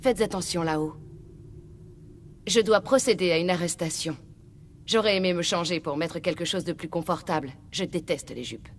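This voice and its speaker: Low-voiced, determined undercover agent played by a woman. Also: normal speed, slight background noise, lightly acted. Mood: wry, weary. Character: determined, dry-witted undercover female internal affairs agent. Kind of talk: video game dub dialogue.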